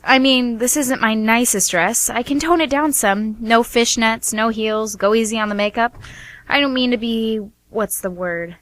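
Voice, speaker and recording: A woman's voice: on the high side, fairly bright tone, dry recording. A woman acting a flustered, defensive young woman in a radio play, chatty and hesitant as she justifies herself. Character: defensive, flustered young working woman hiding her profession from family.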